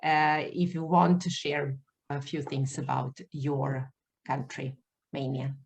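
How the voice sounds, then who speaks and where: A woman hosting an interview podcast over a video call, talking haltingly with many fillers and restarts, English not her first language. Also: very deep voice, little pitch movement, vocal fry, a little hiss or hum. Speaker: podcast host.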